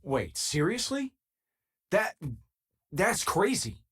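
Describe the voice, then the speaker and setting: Easygoing space miner guy with lively pitch. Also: slow pace, breathy edge, heavily acted. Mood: incredulous. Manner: casual, wry, conversational. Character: easygoing, wisecracking spacefaring miner companion, adult man. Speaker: video game companion character. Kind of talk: video game voice acting, conversational companion lines and combat callouts.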